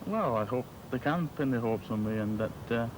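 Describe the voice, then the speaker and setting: A male sports player answers casually in a mid-pitched voice. He is outdoors, with a clear rumble of background noise around him. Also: expressive, normal speed. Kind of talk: brief casual interview answers. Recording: noisy outdoors with low rumble.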